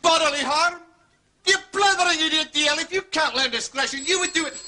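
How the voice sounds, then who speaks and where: A man playing an irascible, bossy Scottish police inspector in a radio drama, scolding with sharp authority. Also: very high-pitched, a little fast, exaggerated voice. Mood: exasperated.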